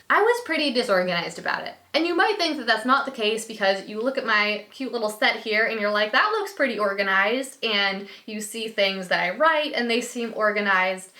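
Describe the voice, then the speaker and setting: A woman's voice: medium pitch, bright, forceful tone, roomy sound. A woman in her late twenties, slightly sick with a froggy voice, giving chatty, earnest business advice to camera. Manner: chatty and earnest, laughs lightly. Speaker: business YouTuber. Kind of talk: casual advice talk to camera.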